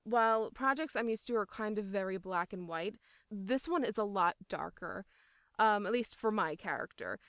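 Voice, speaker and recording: A woman's voice: mid-range voice, moderate pace, a bit bright, dry recording, clean studio sound. A woman speaking as an interviewed voice actor, in casual, enthusiastic and emphatic conversation.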